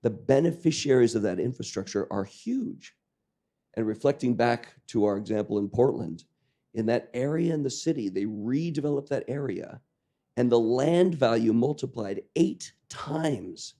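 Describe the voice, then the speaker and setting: A man with a croaky voice giving a lecture to a training class, joking and questioning the audience while clearing his throat. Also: medium pitch, lively intonation, moderate pace, mellow. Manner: jokey, engaging the audience with questions. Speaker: lecturer on public-private partnerships. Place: lecture room with live audience.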